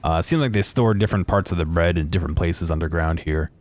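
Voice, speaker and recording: A man's voice: sits low, fast. A man giving casual, unscripted video game commentary, hesitantly thinking aloud as he goes.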